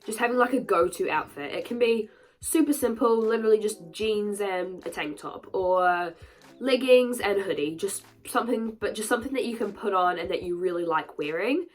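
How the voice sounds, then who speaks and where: A woman's voice: medium pitch, expressive, moderate pace. A young woman with a stuffed-up nose from a cold, chatting earnestly to camera with a lot of "like" in her talk. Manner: chatty and earnest, many "like" fillers. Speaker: vlogger. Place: sunny room at home. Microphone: camera a little way back.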